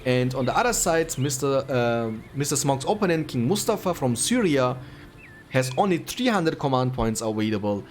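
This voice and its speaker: A man in his twenties from Turkey, casting a strategy game tournament with quick play-by-play and light jokes. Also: mid-range voice, sing-song, slight background noise. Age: 20s. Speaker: esports caster. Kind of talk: game commentary. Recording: music playing behind.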